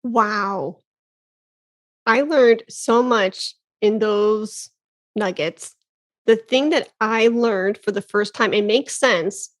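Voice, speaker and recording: A woman in her thirties hosting a podcast, chatting casually and exclaiming often with laughs. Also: medium pitch. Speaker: podcast host. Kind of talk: casual interview chat.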